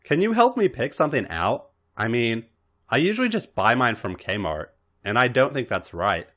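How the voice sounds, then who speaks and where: A man's voice: medium pitch, sing-song, normal speed, fairly bright tone. A man voicing a naive, earnest, bashful young woman in a story reading, with a hesitant, innocent manner.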